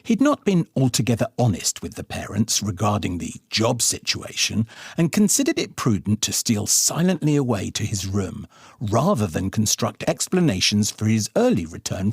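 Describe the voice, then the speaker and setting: A man narrates a story in an expressive audiobook style. His pitch moves in a lively way and his voice has a husky edge, recorded close and dry. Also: no echo. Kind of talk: dramatic scripted audiobook narration.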